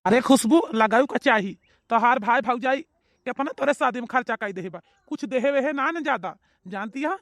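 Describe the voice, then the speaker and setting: A woman's voice: on the high side, normal speed, no room sound, studio quality, voice pushed down, lightly acted. A woman voicing a greedy, scheming older mother-in-law with an Awadhi accent, who keeps egging her daughter-in-law on. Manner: egging on, keeps asking "you know?".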